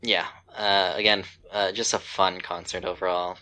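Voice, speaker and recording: Man, deep pitch, crisp forceful tone, casual podcast conversation, from US East Coast. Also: flat delivery, moderate pace. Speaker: podcast co-host. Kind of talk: casual chat.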